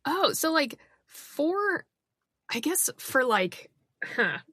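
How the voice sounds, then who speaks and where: A woman's voice: sits high, sing-song, pushed and bright, dry recording. Enthusiastic woman host, dry sound. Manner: enthusiastic, quick reactions. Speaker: podcast host. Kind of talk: casual podcast interview.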